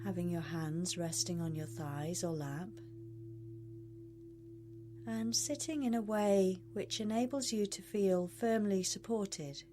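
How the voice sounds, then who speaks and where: A woman leading a guided meditation as a coach, speaking in a measured, soothing way with long pauses. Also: mid-range voice, background noise.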